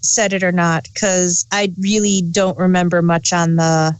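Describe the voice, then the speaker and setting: A woman's voice: fairly low pitch, little pitch movement, unhurried, no room sound. A woman co-hosting an unscripted review, talking in an informal, bantering way. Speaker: podcast co-host. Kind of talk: casual unscripted review chat.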